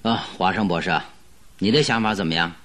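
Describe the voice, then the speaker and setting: A man playing a shrewd detective in a radio drama, questioning and reasoning in a deliberate way. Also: sits low, fairly bright tone. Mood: probing. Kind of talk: Mandarin radio drama acting.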